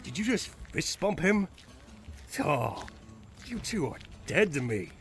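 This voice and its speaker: An actor playing a cocky young survivor who grumbles and snipes in a snarky way in an audio drama. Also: male voice, a little high, very animated, slow pace, a little hiss or hum, heavily acted. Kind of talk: scripted audio drama acting.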